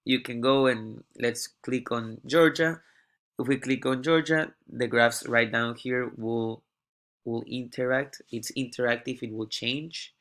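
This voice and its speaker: A young man, an international student, giving a halting class presentation while sick with a cough. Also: mid-range voice, steady tone, fairly bright tone. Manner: halting, often repeats words before going on. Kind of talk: class presentation walking through a data dashboard.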